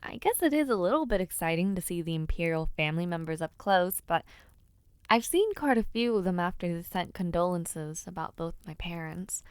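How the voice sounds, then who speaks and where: A woman playing a spirited, playfully impatient young noblewoman who hopes to be a knight, in an expressive audio roleplay spoken straight to the listener. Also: on the low side, moderate pace, clean tone, no echo, natural voice. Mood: calm, reflective. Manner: expressive, sighing, genteel wording with teasing asides. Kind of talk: audio roleplay acting, addressing the listener directly.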